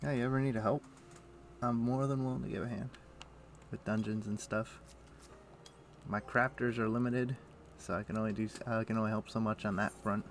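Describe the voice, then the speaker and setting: A man chatting casually on a game live stream, thinking aloud in a halting, muttering way as he plays. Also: lowish voice, fairly even intonation, slight background noise. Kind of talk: casual live-stream chat while playing an online game. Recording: faint music behind the voice. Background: faint music.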